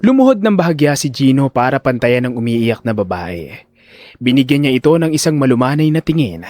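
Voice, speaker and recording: A man's voice: medium pitch, sing-song, a little fast, a little mellow, dry recording. A man giving dramatic scripted narration for an audio story.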